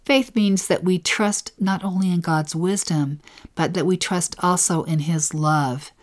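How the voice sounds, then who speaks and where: A woman with a slightly low voice co-teaches a devotional scripture lesson. Her delivery is gentle and earnest, and she takes her time over the phrases she wants to stress. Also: steady tone, measured pace.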